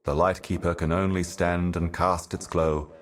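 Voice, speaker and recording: A man voicing an ancient, otherworldly envoy in a video game, delivering cryptic monologues in a solemn, measured, portentous manner. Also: low voice, little pitch movement, lightly acted. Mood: solemn, ominous. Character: ancient cosmic envoy, solemn otherworldly prophet serving higher powers.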